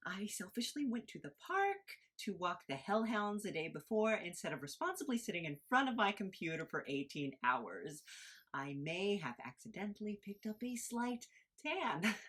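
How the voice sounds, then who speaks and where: Woman acting husky, lively, sheepish podcaster gal. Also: mid-range voice, normal speed. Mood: sheepish, flippant. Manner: hesitant, apologetic, cutesy. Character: ditzy, doting young woman podcaster, sheepish and bubbly. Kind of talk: informal script read-through of audio comedy.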